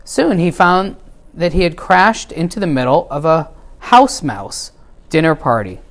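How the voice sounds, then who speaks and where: A middle-aged man reads a children's story aloud in a measured, expressive way. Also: fairly high pitch. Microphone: lapel mic.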